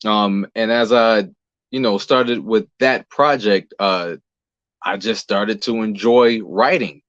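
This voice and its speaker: A low-voiced American man answers questions casually as an interview guest. His recording is very dry, with little room sound, and slightly dull and muffled. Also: slow pace, a bit bright, no room sound. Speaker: interview guest, singer, actor and playwright.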